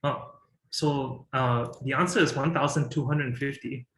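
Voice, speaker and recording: A man's voice: mid-range voice, fairly even intonation, unhurried, fairly bright tone, roomy sound, slight background noise, low-quality recording. A man from Singapore in his thirties, giving a presentation and talking with many fillers and restarts. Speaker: guest speaker.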